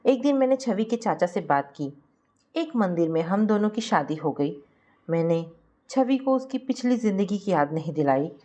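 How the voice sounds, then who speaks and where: A woman's voice: medium pitch, animated, moderate pace, warm tone. A woman reading a scripted family moral story as its narrator.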